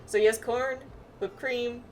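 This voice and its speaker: A woman's voice: a little high, level tone, a little slow, slight background noise. A woman chatting casually through a game playthrough and reading bits of game text aloud. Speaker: gamer playing through a story quest with friends.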